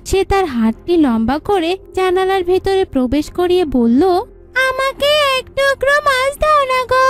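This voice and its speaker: A woman's voice: very high voice, a little mellow. A woman narrating a scripted cartoon folk tale in a storytelling style. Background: music.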